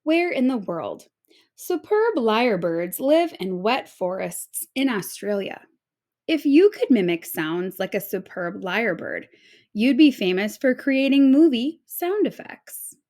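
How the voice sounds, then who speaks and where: Female teacher for kids' nonfiction read-aloud: higher register, very animated intonation, clearly phrased. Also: measured pace. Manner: expressive, clearly phrased storytelling for children. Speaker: teacher reading a children's book aloud. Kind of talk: read-aloud of an informational children's book.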